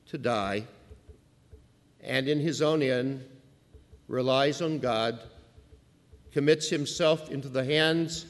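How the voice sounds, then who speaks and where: A man delivers a formal religious address from a podium. He speaks slowly and solemnly, with a long hall reverb trailing each phrase. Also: a little high. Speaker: conference speaker. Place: large hall.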